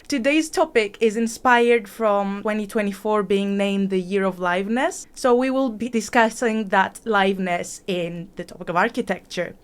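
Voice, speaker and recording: A young woman hosts an interview podcast from a studio. Her voice is clear and smooth at a middle pitch, and she presents in a prepared, orderly way. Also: moderate pace, few pauses. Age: young adult. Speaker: podcast host.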